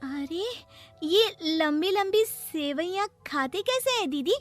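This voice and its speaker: A very high-pitched, naive village schoolgirl, acted by a woman for a cartoon story. She is eager and stubborn, asking wide-eyed questions and pleading at an unhurried pace, with music behind her. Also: animated, full character voice. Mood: curious, insistent. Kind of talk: Hindi moral-story cartoon dubbing.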